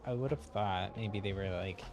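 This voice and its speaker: A man streaming a video game, giving casual live commentary and musing aloud in a hedging, thinking-out-loud way. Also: lowish voice, normal speed. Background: game music.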